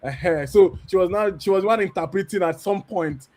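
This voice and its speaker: A Nigerian-accented man in his thirties, speaking on a church panel, telling his story with lively acted-out dialogue. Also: high voice, moderate pace. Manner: animated storyteller, acts out quoted dialogue. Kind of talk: candid testimony on a church panel.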